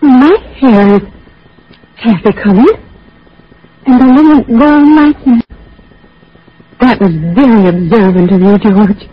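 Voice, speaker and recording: Husky coaxing sweetheart, loyal and poised, vintage radio acting on a dull recording with music. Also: female voice, on the high side, unhurried, long pauses. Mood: tender, coaxing. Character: devoted, composed, coaxing woman in love with a dreamer.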